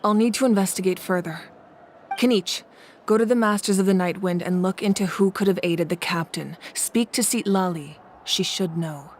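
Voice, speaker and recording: An actress playing a confident, composed warrior ruler in a video game, speaking with measured authority. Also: female voice, on the low side, a little hiss or hum, lightly acted. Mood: commanding. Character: commanding, confident adult female ruler and warrior deity. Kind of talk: dramatic video game voice acting. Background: music.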